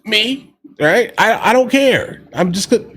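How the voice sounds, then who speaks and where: A man's voice: high-pitched, expressive, a little slow, a bit bright. A man giving casual, slangy political commentary as host of a reaction video, talking directly to his viewers. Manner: informal slangy talk, often addressing listeners as guys.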